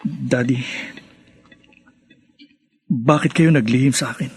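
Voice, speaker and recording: An actor playing a hurt, reproachful adult son in a radio family drama. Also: male voice, mid-range voice, takes it slowly, husky, exaggerated voice. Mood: grave, subdued.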